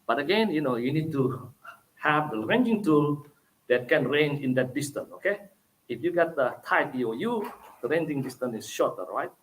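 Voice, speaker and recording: A man with an Indonesian accent giving a technical conference presentation, explaining step by step and often tagging lines with "okay?" and "right?", with some halting fillers. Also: fairly high pitch, expressive, slight background noise, poor audio.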